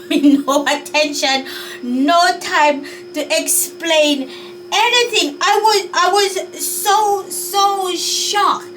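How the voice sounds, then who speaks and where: A woman's voice: extremely high pitch, recorded from a distance, background noise. A Jamaican woman vlogging in a chatty, unsure way, sighing as she thinks things over. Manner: chatty, musing and unsure, with sighs. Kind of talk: casual talk to camera.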